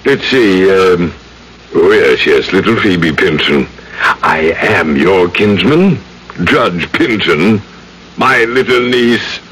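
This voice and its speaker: Pompous, low-voiced villainous judge. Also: male voice, animated, slow pace, a bit bright, breathy edge, exaggerated voice. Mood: oily, genial. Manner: pompous, unctuous. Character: smooth-talking, menacing older judge, falsely genial villain. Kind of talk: old-time radio drama acting. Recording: noisy old radio drama recording.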